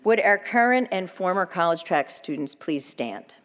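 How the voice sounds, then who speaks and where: A woman gives a prepared speech to an audience in a large hall, and her voice trails off in a long reverb. Her voice sits a little low, and her delivery is measured, formal and grateful. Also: lively intonation, fairly bright tone, a little hiss or hum. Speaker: nonprofit leader giving an event speech. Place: event hall.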